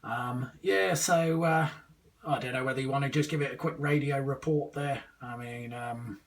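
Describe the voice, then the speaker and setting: A man's voice: medium pitch, normal speed, distant mic. Man in his twenties, hesitant slangy radio chat, recorded away from the microphone. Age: 20s. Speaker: amateur CB radio operator testing a new radio. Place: small indoor room with radio gear.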